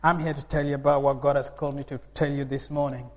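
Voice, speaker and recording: A man in his forties, from Uganda, preaching to a congregation. His fairly high voice climbs into emphatic, laughing bursts. It rings with the long reverb of a church hall. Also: flat delivery, fast. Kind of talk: sermon.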